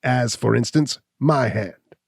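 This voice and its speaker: A man voicing a snarling, defiant hunchback who shouts and sneers in a raised, strained voice. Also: medium pitch, takes it slowly, creaky, breathy edge, no room sound, clean studio sound, voice pushed up, full character voice.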